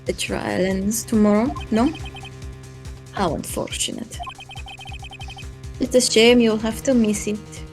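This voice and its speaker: A woman playing a cold, menacing studio producer in a game dub, speaking with deliberate, cryptic condescension. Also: a little high, steady tone, a little mellow, faint music behind. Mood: quietly menacing. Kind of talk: fan live dub of a visual novel game.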